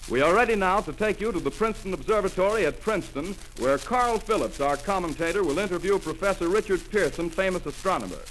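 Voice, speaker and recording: An actor playing a formal studio news announcer in a 1930s radio drama. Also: male voice, sits high, animated, quick pace, few pauses, a bit bright, a little hiss or hum, rough recording.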